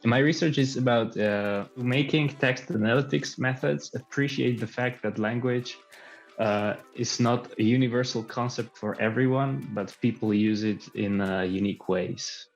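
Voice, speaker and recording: A man from Slovakia, a PhD researcher, introducing his research with small pauses. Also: medium pitch, soft background music. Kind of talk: short video self-introduction. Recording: dull lo-fi sound.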